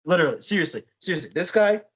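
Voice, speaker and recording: A young man co-hosting a casual podcast, telling stories in a rambling, animated way with frequent restarts. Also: a little high, rapid-fire, breathy edge. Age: young adult. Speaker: podcast co-host. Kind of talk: casual chat.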